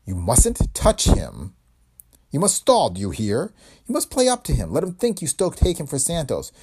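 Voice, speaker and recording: A man voicing a courtly, sinister bearded man who gives formal, clipped orders, in a husky voice. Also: mid-range voice, wildly swooping pitch, brisk, darkish tone, full character voice, low-quality recording. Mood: menacing.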